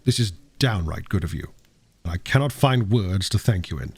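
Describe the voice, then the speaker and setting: A narrator, a man, plays a troubled gentleman doctor from a Victorian novel. He is formal and earnest, guarding a secret, in a low, husky voice that moves expressively. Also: expressive, moderate pace, no echo, heavily acted. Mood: earnest, reassuring. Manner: pleading, emphatic, formal.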